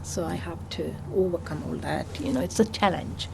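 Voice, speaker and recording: A middle-aged woman from Malaysia, a librarian, speaking softly and hesitantly in a documentary interview. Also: deep voice, moderate pace, vocal fry. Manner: soft-spoken, hesitant with many ums. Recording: noisy street background.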